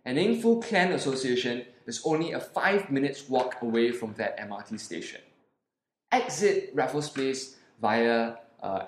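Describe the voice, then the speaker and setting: Animated Singaporean man guiding an audio tour. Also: mid-range voice, echoey room. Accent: Singaporean English. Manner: animated, guiding the listener step by step. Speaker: podcast host and audio tour guide. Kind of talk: scripted audio tour narration.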